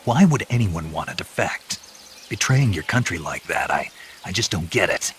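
A man playing a gruff, earnest American soldier in a video game, with a gravelly voice. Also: sits low, big pitch swings, normal speed, fairly bright tone, a little hiss or hum. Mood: guarded, questioning. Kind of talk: video game cutscene acting.

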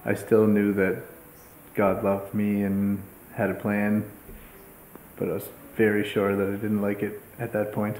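A man in his thirties telling a personal story in a steady, flat way with fillers, in a living room. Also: fairly low pitch, measured pace, reverberant, slight background noise, rough recording. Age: 30s. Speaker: grieving brother. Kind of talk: personal testimony.

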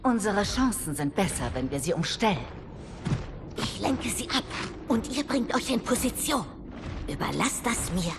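An actress playing a bold, determined young warrior princess in a dramatic video game, decisive and commanding. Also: female voice, medium pitch, sing-song, measured pace, background noise. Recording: loud music behind voice. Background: loud music.

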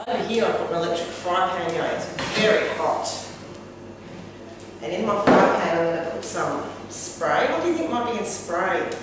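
A middle-aged woman leads a cooking demonstration like a patient teacher, asking questions and praising answers. Her voice comes from across a hard, echoey kitchen with a long reverb. A steady background noise runs under it. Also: fairly low pitch, normal speed. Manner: encouraging, asks the child questions. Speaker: cooking show presenter teaching a child. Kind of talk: friendly classroom-style cooking demonstration. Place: kitchen classroom, indoors. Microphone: far camera mic. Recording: echoey room from far off, noisy.

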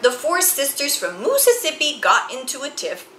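A middle-aged woman reads a picture book aloud to children, with theatrical, deliberate, emphatic phrasing. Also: sits high, wildly swooping pitch, sharp tone. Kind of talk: expressive children's read-aloud storytelling. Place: small indoor room with bookshelves and chalkboard. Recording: roomy sound with faint background noise.

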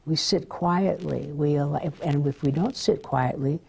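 Older woman, TV interview guest; low, gravelly, husky voice, earnest and affirming. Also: moderate pace, a little mellow. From Southern California. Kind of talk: television interview. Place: studio set, indoors.

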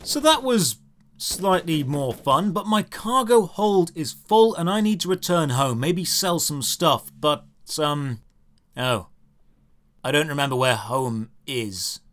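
A man narrating a scripted video game review in a sardonic, deadpan way with dry commentary. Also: a little high, takes it slowly.

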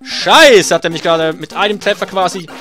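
Sarcastic young-sounding gamer man, raised pitch. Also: fairly quick, sharp tone. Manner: sarcastic, swears when hit. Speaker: let's play gamer. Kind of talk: casual gameplay commentary. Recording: game music behind voice. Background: faint game music.

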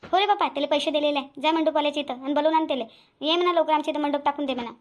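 A woman's voice: high-pitched, rapid-fire, close to an everyday voice. A woman voicing a proud, grumbling grandmother in a cartoon family drama, speaking with a Vidarbha Marathi accent. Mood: bossy. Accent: Vidarbha Marathi (Varhadi). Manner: nagging, complaining, muttering asides. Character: proud, grumbling, boastful grandmother and mother-in-law.

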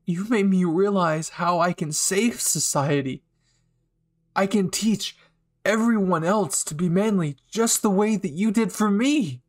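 A man acts out an unhinged young male anime villain. He is bitter and sure of his righteous cause. He speaks very slowly, with menacing, taunting pauses, in a slightly high voice. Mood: brooding, righteous. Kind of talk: anime fan ASMR roleplay acting.

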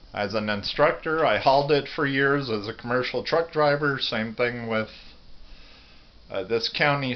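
A man's voice: medium pitch, dull audio. A middle-aged American man giving an earnest, plain-spoken, rambling video monologue on a phone held at arm's length in a kitchen. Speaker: vlogger. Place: home kitchen, indoors.

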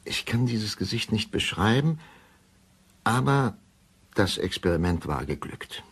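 An actor playing a grave, obsessive doctor confessing in a brooding, deliberate radio drama monologue. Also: male voice, on the low side, big pitch swings, a bit bright, slightly rough, full character voice.

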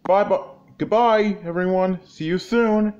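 A man reading a children's story aloud in an animated, exclamatory way, with halting phrasing. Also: high-pitched. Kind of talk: animated read-along storytelling. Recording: dull lo-fi sound, faint noise, clicks.

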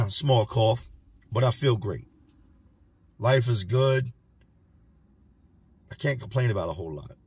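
Raspy, gravelly man talking blunt in a car. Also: mid-range voice, takes it slowly, pauses often, no room sound. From Virginia, US. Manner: blunt, plain-spoken street talk. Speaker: vlogger. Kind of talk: casual talking-head vlog. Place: parked car. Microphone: phone camera at arm's length.

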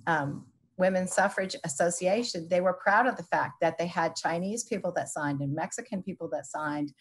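A woman panelist explaining history on a video call, measured and explanatory, pausing with frequent ums. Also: lowish voice. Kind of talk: panel discussion answers.

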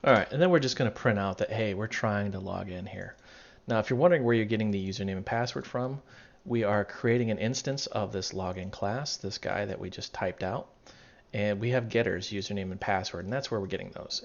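Raspy low-voiced man teaching code. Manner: thinking aloud, self-correcting. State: losing his voice, raspy. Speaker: programming tutorial instructor. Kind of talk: casual screen-recorded coding walkthrough. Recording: dull lo-fi mic, keyboard typing behind. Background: keyboard typing and clicking.

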